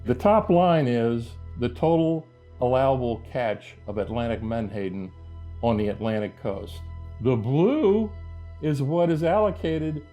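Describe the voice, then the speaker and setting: A man's voice: mid-range voice, measured pace, dark tone, faint music behind. A man making an indignant advocacy presentation, pressing his points with rhetorical questions. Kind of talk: advocacy presentation, explaining figures.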